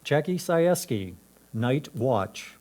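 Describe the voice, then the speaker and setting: Mellow-voiced man announcing scores. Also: mid-range voice, very animated, slow pace. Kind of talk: announcing titles, makers and scores.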